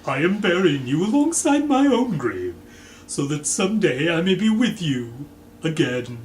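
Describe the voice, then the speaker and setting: A man voicing a tearful, wealthy gentleman in mourning, sobbing and melodramatic, for a storybook reading. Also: on the high side, sing-song, a little slow, pitched above the performer's own voice. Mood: weepy grief. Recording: echoey room, faint background noise.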